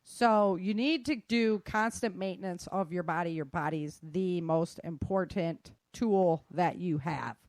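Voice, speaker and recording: A woman's voice: lowish voice, measured pace, few pauses, darkish tone, no echo, clean studio sound. A middle-aged woman giving an informal talk in a candid, colloquial way with joking asides.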